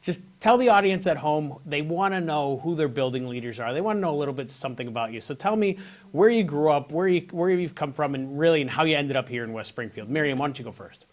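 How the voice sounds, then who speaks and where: A man's voice: a little high, expressive, fast. An American man hosting a TV interview show in an informal, encouraging way. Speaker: show host, school superintendent.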